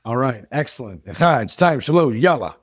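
A young man hosts a podcast over a video call, with a dry and close sound. He keeps things upbeat and chatty at a middle pitch for a man, often repeating his words of praise. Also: sing-song, brisk, no room sound. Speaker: podcast host. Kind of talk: casual upbeat hosting.

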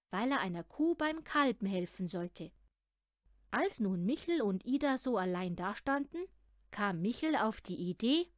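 A woman's voice: medium pitch, no echo, studio quality. A woman narrating a children's story from a script, expressively and as if speaking to a young listener. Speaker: audiobook reader.

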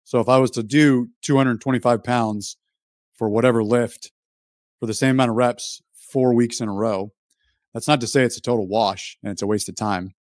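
Man in his thirties, dry mid-pitched voice. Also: steady tone, moderate pace, dry recording. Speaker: fitness YouTuber, podcast guest. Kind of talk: casual explanatory interview talk. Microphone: microphone on a video call.

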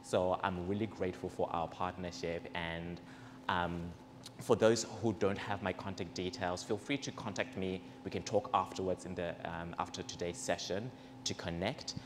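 A young man from Zimbabwe hosting a community event, speaking earnestly and warmly about gratitude into a handheld mic before a seated audience in a large indoor space. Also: sits low, fairly even intonation, normal speed. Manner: earnest, personal and grateful. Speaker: event host. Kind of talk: speech to a live audience. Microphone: handheld microphone. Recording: reverberant hall, faint background noise.

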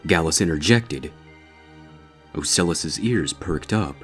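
A man narrates scripted horror fiction in a very low register. Faint music runs beneath the reading. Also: animated, takes it slowly, husky.

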